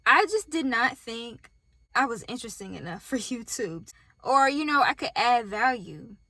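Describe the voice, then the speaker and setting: A woman's voice: on the high side, big pitch swings, moderate pace, bright, forceful tone, no room sound. A woman with a Southern US accent talks candidly and casually to camera from her parked car, speaking straight to her viewers and filming on a phone set on a tripod. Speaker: vlogger.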